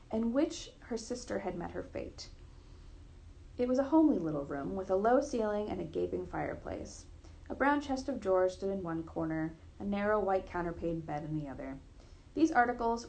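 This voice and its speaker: Creaky-voiced woman reading a story aloud. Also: mid-range voice, darkish tone, poor audio. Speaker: reader of a classic story. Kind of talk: read-aloud literary narration.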